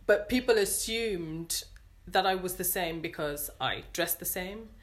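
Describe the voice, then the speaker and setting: A woman with an English accent, speaking earnestly and thoughtfully as an interview guest, pausing to search for words. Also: fairly low pitch. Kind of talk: reflective interview conversation.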